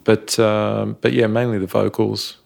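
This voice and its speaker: A man, a sound engineer guest chatting in a relaxed, rambling way and laughing often. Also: on the low side, measured pace, gravelly. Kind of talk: casual interview talk.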